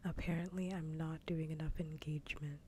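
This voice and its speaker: A woman chatting casually in a soft, breathy whisper on an ASMR livestream, with a wry, joking manner, close to the microphone. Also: low voice, little pitch movement, fairly quick, a little hiss or hum.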